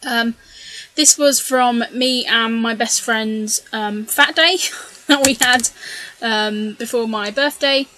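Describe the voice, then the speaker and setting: A woman's voice: fairly high pitch, slow, noisy background. A woman narrating her scrapbooking process in a chatty, rambling way, full of ums and asides, her voice a little strained. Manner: chatty, rambling, many ums and self-corrections. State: slightly losing her voice. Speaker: scrapbooking video creator. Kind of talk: casual voice-over narration of a craft process.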